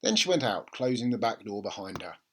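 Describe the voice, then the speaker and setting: Animated man reading a children's story. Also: lowish voice, brisk, slight background noise. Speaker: storybook reader. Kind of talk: expressive children's book narration.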